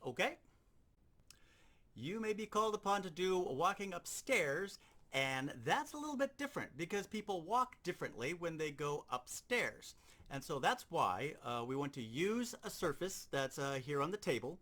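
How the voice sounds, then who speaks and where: A man's voice: a little high, big pitch swings, normal speed, fairly bright tone. A man giving an informal instructional demonstration, explaining things step by step. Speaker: sound effects instructor.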